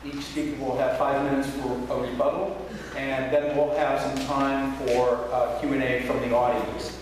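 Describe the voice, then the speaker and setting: A man moderating a debate forum, reading speaker introductions in a measured way. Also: medium pitch, flat delivery, slight background noise. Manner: measured, reads from notes. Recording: echoey small hall, from far off.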